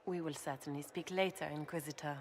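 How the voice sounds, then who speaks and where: An actress playing a haughty grand duchess in a fantasy game, formal with guarded politeness. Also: female voice, deep voice, steady tone, brisk, a little hiss or hum, natural voice. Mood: veiled, polite. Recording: echoing hall, faint music.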